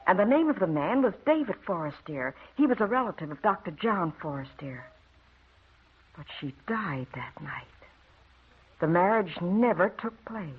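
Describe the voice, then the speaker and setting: An earnest, husky-voiced man explains a ghostly mystery in an old radio play. The sound is narrow and muffled like a phone line. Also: high voice, sing-song, normal speed, full character voice. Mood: solemn, explaining. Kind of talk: 1940s radio drama acting.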